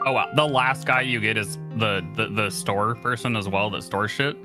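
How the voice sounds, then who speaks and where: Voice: medium pitch, normal speed. Casual co-streamer with music behind. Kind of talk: casual chat during gameplay.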